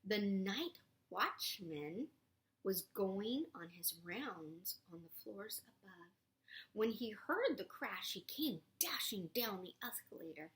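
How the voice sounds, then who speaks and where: A woman reads a picture book aloud to a small child. Her delivery is slow and full of melodic swings. She is playful, adds chatty asides and often drops toward a whisper. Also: medium pitch, sing-song, a bit bright. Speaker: storybook reader.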